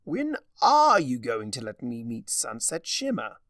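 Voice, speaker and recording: A man's voice: mid-range voice, wildly swooping pitch, a little slow, a bit bright, dry recording, recorded from a distance, heavily acted. A man voicing a theatrical, swooping mischief-maker. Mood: smug teasing. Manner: drawling, grandly teasing, self-amused. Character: Discord, theatrical gleefully mischievous chaos creature villain. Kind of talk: dramatic fan fiction reading.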